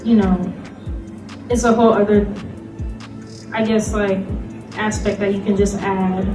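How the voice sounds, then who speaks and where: A woman's voice: medium pitch, level tone, unhurried. A woman giving a casual, informal panel presentation, talking loosely and conversationally with lots of "like". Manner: conversational, loose, filler-heavy with frequent "like" and small laughs. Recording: roomy distant mic, loud music behind.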